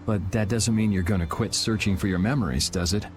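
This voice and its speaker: A man playing a calm, blunt young vigilante swordsman in a video game, laid-back and matter-of-fact. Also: on the low side, a little fast, slight background noise, lightly acted. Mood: relaxed.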